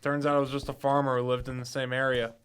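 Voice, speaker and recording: A man's voice: medium pitch, little pitch movement, quick pace, a bit bright, clean tone, close to an everyday voice. A man playing a cocky, dogged young amateur detective in an audio drama, self-assured and theatrical. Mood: confident.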